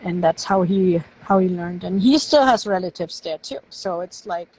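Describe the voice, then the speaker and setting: A woman from Germany speaking English with a German accent, her native tongue showing through. She talks in a casual, halting way, pausing on fillers and restarting her sentences, like an author chatting over a home video call. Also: fairly low pitch, darkish tone, breathy edge, no echo, rough recording. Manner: hesitant, with frequent fillers and restarts. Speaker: guest author. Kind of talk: casual live-stream interview.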